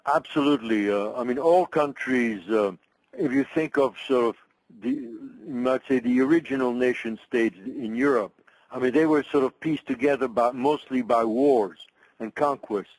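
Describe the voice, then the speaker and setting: An older man from Belgium, a migration researcher, answering interview questions in a thoughtful, halting way with many restarts. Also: medium pitch, darkish tone, dry recording, poor audio.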